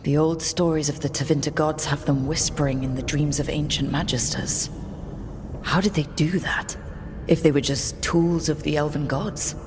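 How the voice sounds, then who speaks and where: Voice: medium pitch, fairly even intonation, moderate pace, in a large hall, background noise, close to an everyday voice. An actor playing a determined, plain-spoken, dryly wry hero in a fantasy game. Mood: questioning. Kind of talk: scripted fantasy game dialogue.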